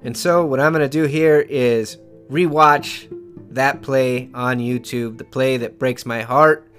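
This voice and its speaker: Bright-voiced man, emotional football podcaster. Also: medium pitch, steady tone, measured pace, faint music behind. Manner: emotional, slangy diehard fan delivery. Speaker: sports podcast host. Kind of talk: casual, opinionated football commentary recounting games.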